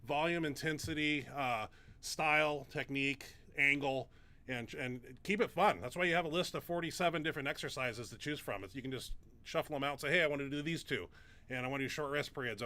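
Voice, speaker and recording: Bright-voiced rambling man. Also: mid-range voice, fast. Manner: rambling, many fillers and restarts. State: stuffed-up nose. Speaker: show host and powerlifter. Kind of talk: casual live Q&A chat.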